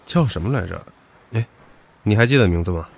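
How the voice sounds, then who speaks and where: Man acting a brash, low-voiced young hothead. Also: lively intonation, brisk, vocal fry. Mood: taunting. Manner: mocking, crude, provocative. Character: brash, hot-tempered, taunting young male subordinate. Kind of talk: modern audio drama acting.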